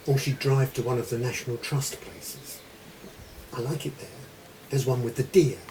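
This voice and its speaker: A man's voice: mid-range voice, darkish tone, natural voice. An older British man playing a lonely, stoical widowed grandfather in a filmed diary monologue. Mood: wistful. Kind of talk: spoken diary monologue in a short film. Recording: distant mic, faint background noise.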